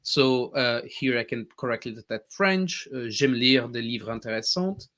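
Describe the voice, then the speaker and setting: A man with an Italian accent, a native Italian speaker, eagerly walking viewers through a live software demo as a tech presenter. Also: medium pitch, normal speed. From Italy. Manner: eager, animated explaining.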